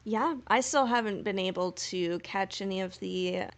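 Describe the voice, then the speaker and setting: A woman's voice: mid-range voice, normal speed. A woman co-hosting a casual fan podcast, gushing and repeating words for emphasis. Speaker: podcast co-host.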